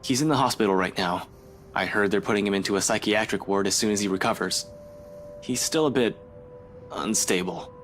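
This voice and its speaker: A man's voice: lowish voice, fairly quick, a bit bright, soft background music, natural voice. An actor playing a blunt, matter-of-fact male police officer. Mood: grim.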